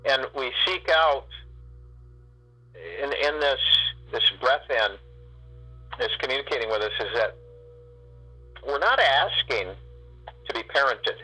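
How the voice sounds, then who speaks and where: A man guiding a spiritual meditation, speaking in slow, drawn-out phrases with long pauses. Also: medium pitch, expressive, bright, forceful tone, breathy edge, soft background music, poor audio. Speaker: meditation guide.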